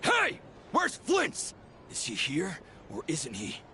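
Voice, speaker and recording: An actor playing an agitated, accusing townsman in an anime game, loud and demanding. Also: fairly high pitch, huge pitch swings, takes it slowly, a little hiss or hum, full character voice. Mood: angry, alarmed. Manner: shouting, demanding. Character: agitated, accusing townsman leading an angry mob.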